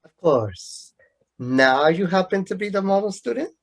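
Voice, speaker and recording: Male actor for a lovesick, mocking student rival in an amateur radio drama; declamatory, higher-pitched. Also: lively intonation, unhurried, gravelly, heavily acted. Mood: needling. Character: sarcastic lovelorn young male student, theatrical rival. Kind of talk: amateur radio play acting.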